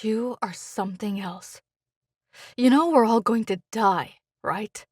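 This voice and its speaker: High-pitched, bitter woman caller played by an actress. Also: a little mellow, breathy edge. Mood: sardonic. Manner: deliberate, clipped fragments, sardonic storytelling. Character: scared, bitter, sarcastic woman caller facing doomsday. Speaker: caller to a helpline. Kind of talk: dramatic monologue in an audio drama.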